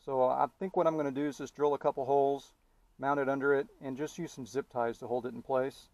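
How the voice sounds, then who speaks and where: A man giving a casual DIY tutorial as he works, thinking aloud in an informal, halting way. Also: medium pitch, steady tone, darkish tone, no room sound. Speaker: DIY car vlogger. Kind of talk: casual hands-on installation tutorial, talking while working.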